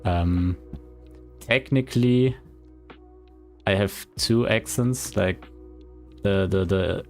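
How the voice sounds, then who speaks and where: A man's voice: low-pitched, slow pace, soft background music. A man with a German accent, a game developer chatting casually on a livestream in a rambling, hesitant way. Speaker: indie game developer streaming.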